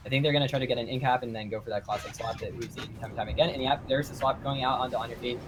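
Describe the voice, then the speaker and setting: A man co-casting a live esports stream, giving quick analytical play-by-play. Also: mid-range voice, steady tone, noisy background. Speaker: esports co-caster.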